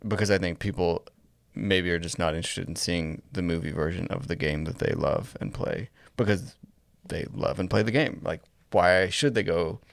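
A man in his thirties co-hosting a casual podcast, speaking in a measured, tentative way close to a studio microphone. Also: low voice, gravelly, dry recording. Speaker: podcast co-host. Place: small indoor podcast studio. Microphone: close boom-arm studio microphone.

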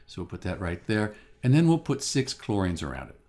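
Man, low voice, lively intonation, calm methodical chemistry explainer, voice-over. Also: fairly quick. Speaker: chemistry teacher. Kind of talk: scripted lesson voice-over. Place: voice-over over a drawing screen.

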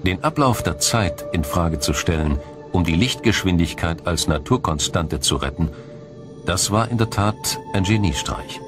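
A man reading a scripted science documentary in a measured, formal, explanatory manner. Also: deep voice, lively intonation, music in the background. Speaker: documentary narrator.

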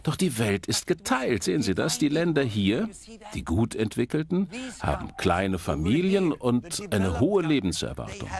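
A man presenting a documentary lecture in an emphatic, explanatory manner with dramatic pauses. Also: medium pitch, wildly swooping pitch, gravelly. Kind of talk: animated documentary lecture to an audience.

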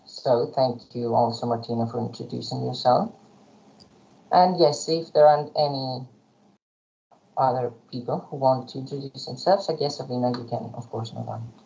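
A woman moderating an online webinar, calmly inviting participants to introduce themselves. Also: rumbling low, moderate pace, vocal fry. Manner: calm, polite, guiding. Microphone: video call. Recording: muffled video-call audio.

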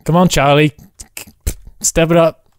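A man chatting casually and excitably while playing a co-op game, joking and exclaiming as he goes. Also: on the high side, unhurried, clear, resonant tone, no echo, studio quality. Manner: jokey, exclaiming. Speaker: gamer playing a co-op roleplay map. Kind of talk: excitable casual gameplay chat.

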